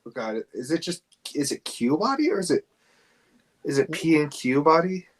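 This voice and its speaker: A man's voice: fairly high pitch, big pitch swings, moderate pace, slightly rough. A man co-hosting a podcast in casual chat, often hesitating as he searches for words. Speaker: podcast co-host. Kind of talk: casual podcast chat about fan casting.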